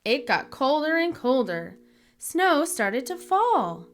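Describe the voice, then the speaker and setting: A woman reading a children's story aloud in an expressive, deliberate storytelling manner for young listeners. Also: high-pitched. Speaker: children's book reader, channel host.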